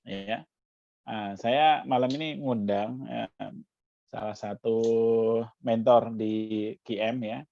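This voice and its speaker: A man's voice: medium pitch, dark tone, no echo, highs cut off. A man from Jakarta coaching a community workshop over a video call, explaining step by step with frequent "ya" tags. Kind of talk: online workshop demo.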